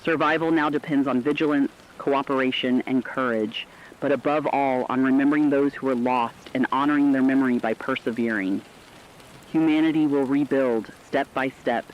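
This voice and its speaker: A man's voice: medium pitch, slight background noise, lightly acted. Slightly muffled, earnest male broadcaster acted. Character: sober male broadcaster reporting on survivors after catastrophe. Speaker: radio announcer. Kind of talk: scripted fictional radio broadcast narration.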